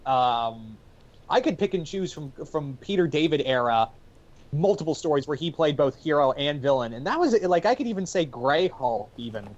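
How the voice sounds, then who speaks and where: A man's voice: on the high side, expressive, moderate pace, highs cut off. A man speaking casually as a panelist in a podcast discussion.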